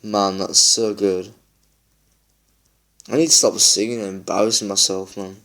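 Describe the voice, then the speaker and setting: A man talks loosely over his gameplay, his voice a little low and worn croaky from a long session. He rambles with plenty of fillers, friendly and unscripted. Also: fairly even intonation, a little slow, fairly bright tone. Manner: rambling, chatty, many fillers. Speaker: gaming YouTuber. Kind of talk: casual gameplay chat.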